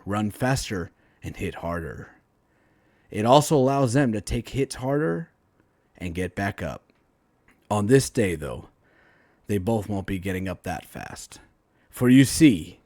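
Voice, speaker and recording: A man reading a superhero story aloud in a dramatic, emphatic storytelling style with deliberate phrasing. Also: on the low side, darkish tone, dry recording. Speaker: author reading own story, writing teacher.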